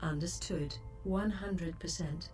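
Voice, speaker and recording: A synthetic woman voicing a breathy ship computer. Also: fairly low pitch, darkish tone, faint music behind. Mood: flat. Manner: slow, formal announcements. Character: calm female ship computer assistant.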